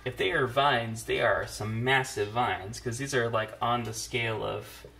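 A man's voice: mid-range voice, unhurried, vocal fry, sharp tone. A middle-aged man chatting casually as he hosts a drawing session. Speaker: host of a family drawing channel. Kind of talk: casual chat while drawing. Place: indoors at a wooden table. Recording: noisy home recording with clicks.

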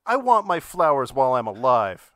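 A man with a medium-pitched voice speaks as a podcast panelist. The sound is close and dry, and the style is casual, made for chat and comic read-alouds. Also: very animated, moderate pace, a bit bright, no echo.

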